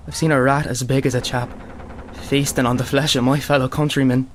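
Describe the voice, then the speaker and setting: An actor playing a weary, anxious young Irish soldier of 1916 in a radio play. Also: male voice, medium pitch, little pitch movement, fairly quick, slight background noise, natural voice. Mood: weary, homesick.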